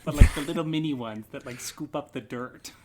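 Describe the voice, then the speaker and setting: A man's voice: on the high side, a little fast, very noisy. A man chatting casually as a podcast guest, easygoing and playful. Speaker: podcast guest, theatre artistic director. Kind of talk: casual interview chat.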